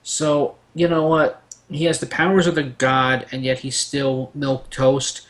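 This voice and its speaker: A man's voice: medium pitch, flat delivery, measured pace, a bit bright. A man on a debate panel, chatting casually and giving his opinions in a blunt, irreverent way.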